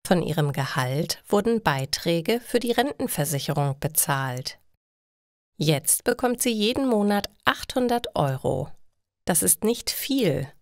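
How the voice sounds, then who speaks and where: A woman reading scripted stories for language learners in short, deliberately separated sentences. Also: on the low side, no room sound, clean studio sound. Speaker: narrator of German learning stories. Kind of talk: slow scripted story narration for language learners.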